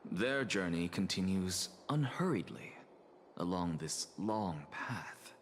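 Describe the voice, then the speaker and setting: A man's voice: sits low, animated, takes it slowly, a little hiss or hum. A man playing an arrogant, philosophical scholar envoy in a video game, speaking formally and theatrically with haughty eloquence. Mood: musing. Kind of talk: dramatic video game voice acting.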